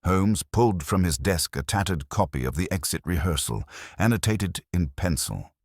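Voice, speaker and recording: A man's voice: low-pitched, lively intonation, normal speed, no room sound, clean studio sound. A man reading a detective story as scripted narration. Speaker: audiobook narrator.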